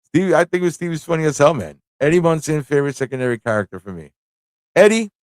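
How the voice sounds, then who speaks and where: A man's voice: fairly high pitch, expressive, fast, dry recording, clean studio sound. A man hosting a livestream fan chat, talking in a rambling, informal way with frequent rhetorical tag questions. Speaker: livestream talk show host.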